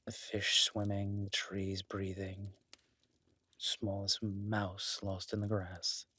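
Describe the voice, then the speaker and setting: A man's voice: on the low side, monotone, slow pace, slight background noise. A man playing a teasing, protective woodland cabin host, speaking intimately in an audio roleplay. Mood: dreamy, hushed. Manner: teasing, soft-spoken, intimate.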